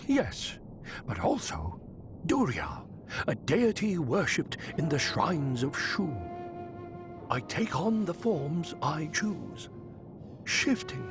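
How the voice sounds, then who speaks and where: A sly, swooping shapeshifter god. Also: male voice, a little high, full character voice. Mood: playful, mysterious. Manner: theatrical, cryptic, teasing. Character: sly shapeshifting male deity posing as wandering bard. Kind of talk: scripted video game dialogue acting.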